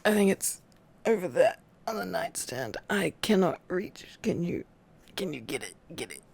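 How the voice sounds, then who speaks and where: A tired man in an intimate ASMR boyfriend roleplay, rambling affectionately in a soft, sleepy murmur that often slips toward a whisper. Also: sits high, wildly swooping pitch, moderate pace, vocal fry, husky.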